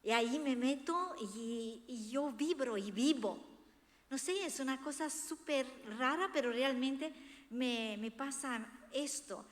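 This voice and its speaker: A woman from Romania, a native Romanian speaker, giving an earnest, expressive personal talk as an author to an audience. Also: high-pitched, slow. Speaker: author presenting her book. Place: hall of a cultural institution, before a seated audience. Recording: echoey hall with long reverb.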